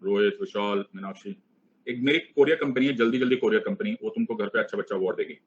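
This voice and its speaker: A man's voice: fairly low pitch, quick pace, muffled recording. A middle-aged man teaching an online exam-prep class, playful and teasing as he switches between Hindi and English. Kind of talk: live online class lecture.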